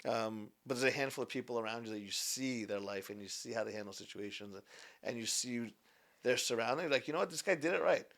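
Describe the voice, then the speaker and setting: Young male business founder, podcast guest, earnest and rambling, average pitch. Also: quick pace, vocal fry, fairly bright tone. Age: 20s. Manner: earnest, rambling with many restarts. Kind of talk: casual podcast conversation.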